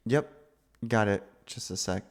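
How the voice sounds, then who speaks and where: A nervous, sweet young man played by a male voice actor in an intimate ASMR roleplay. His voice is on the low side with plenty of creak, and he speaks slowly. He stammers, hesitates and sighs like someone awkwardly smitten. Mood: nervous, pleased. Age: young adult. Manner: flustered, stammering, hesitant, sighing. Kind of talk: ASMR boyfriend roleplay, acted monologue.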